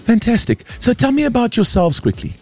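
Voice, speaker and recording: A man's voice: very high-pitched, very animated, brisk, darkish tone, faint music behind. A South African man hosting a casual live radio show, chatty, teasing and curious with his guests.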